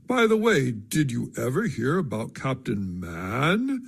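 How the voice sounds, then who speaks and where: A man voicing an affected society gentleman who draws out his syllables in a peculiar, drawling way. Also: mid-range voice, wildly swooping pitch, takes it slowly, vocal fry, husky, exaggerated voice. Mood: gossipy, insinuating.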